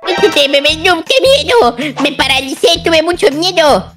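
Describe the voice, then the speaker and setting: A friendly, nervous little cat, acted by a woman in a Minecraft roleplay. The voice is high, cute and hesitant. Music runs underneath. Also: lively intonation, normal speed, fairly bright tone. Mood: scared. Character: Michi, timid friendly pet cat.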